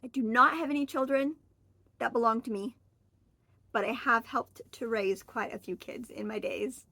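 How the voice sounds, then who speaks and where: A woman in her forties talks casually to the camera, rambling in a friendly way and correcting herself as she goes. Her voice sits a little high, and the recording is dry and close with almost no room sound. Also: moderate pace, creaky, bright, forceful tone, no echo. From Oregon, US. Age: 40s. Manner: chatty, rambling, friendly, with fillers and self-corrections. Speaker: vlogger. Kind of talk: casual solo chat to camera.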